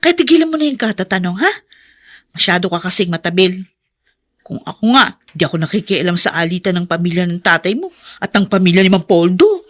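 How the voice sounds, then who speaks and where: A woman's voice: lowish voice, big pitch swings, a little fast. An actress playing a no-nonsense, evasive Filipino mother and wife in a radio drama, with a scolding manner.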